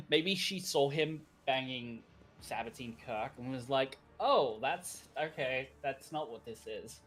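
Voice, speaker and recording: A man's voice: medium pitch, expressive, slow pace, a bit bright. A man co-hosting a game stream, chatting casually, rambling and laughing often. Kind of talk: casual chat while gaming.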